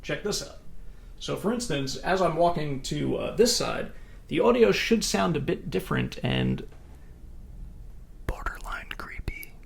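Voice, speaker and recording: A man's voice: on the high side, sing-song, a little slow, gravelly, pauses often, a little hiss or hum. A man in his thirties casually presenting a product review at home into binaural earbud microphones. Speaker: tech review host. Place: indoors in a plain room at home.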